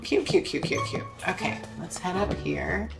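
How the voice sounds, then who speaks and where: A woman's voice: lowish voice, expressive, normal speed, vocal fry. A woman in her thirties chatting casually while playing a video game, gushing and joking with her viewers. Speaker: streamer. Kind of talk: casual gameplay chat. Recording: game music playing behind.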